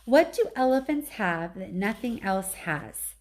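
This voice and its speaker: A woman's voice: mid-range voice, sing-song, measured pace, darkish tone. A woman voicing an eager, curious young female baby elephant in a storybook, chatty and full of breathless questions. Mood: playful.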